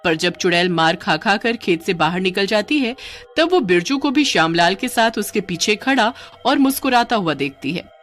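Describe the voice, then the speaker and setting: A woman telling a scripted story as narrator, in an expressive storytelling style. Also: mid-range voice, normal speed.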